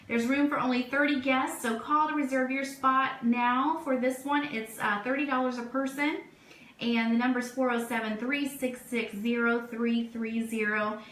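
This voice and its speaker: Chatty upbeat lady radio host reading out events, kinda high voice, echoey room. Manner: chatty, enthusiastic, informal. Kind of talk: upbeat casual event announcements. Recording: roomy echo, steady background noise.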